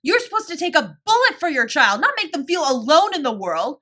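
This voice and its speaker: A woman's voice: high voice, sing-song, a little fast, bright, forceful tone, exaggerated voice. A woman playing a fiercely protective older sibling confronting a parent in an audio drama, scolding and emphatic with a sarcastic edge. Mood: angry, indignant. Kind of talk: dramatic audio roleplay acting.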